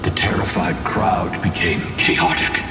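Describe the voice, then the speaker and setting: A man's voice: fairly low pitch, sing-song, unhurried, creaky, full character voice. An actor playing a grave ancient guardian spirit in a fantasy game, telling his tale with solemn weight. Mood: grim, intense. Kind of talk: dramatic fantasy game voice acting. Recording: echoing hall reverb, distant, with music.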